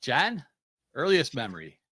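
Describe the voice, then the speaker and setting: A man's voice: medium pitch, wildly swooping pitch, a little slow, bright, forceful tone, breathy edge, dry recording. A man hosts a casual expert panel, tossing out questions in an easygoing tone. His voice rises and falls a lot, in a dry close-up sound. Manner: easygoing, asks questions and gives short affirmations. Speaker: host, moderator.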